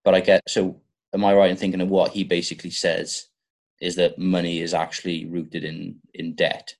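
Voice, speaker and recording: A man with a distinctly deep voice in a relaxed, thoughtful conversation. He builds his points in halting steps, repeating himself for emphasis. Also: vocal fry. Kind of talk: casual intellectual discussion.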